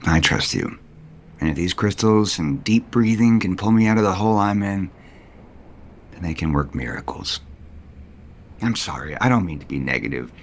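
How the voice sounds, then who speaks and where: World-weary rich man, low-pitched, guarded, affectionate, hesitant, intimate soap opera drama. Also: animated, moderate pace, long pauses, a little hiss or hum. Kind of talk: audio soap opera acting.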